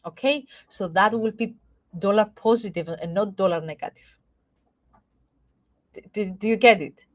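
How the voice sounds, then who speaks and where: A woman with a Greek Cypriot accent talks through market moves for a live audience, keeping her voice at a middle pitch. She stumbles and restarts often, filling pauses with "uh" and "um". She keeps checking in with "okay?" as she explains. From Cyprus. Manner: halting, many fillers and restarts. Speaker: market analyst.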